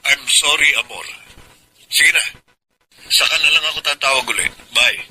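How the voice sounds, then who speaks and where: A man's voice: a little high, moderate pace, noisy background, lightly acted. A man playing a neglectful husband and father in a Filipino radio melodrama, earnest and dramatic. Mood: curt, apologetic. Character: restless, neglectful middle-aged husband and father. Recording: loud music under the voice.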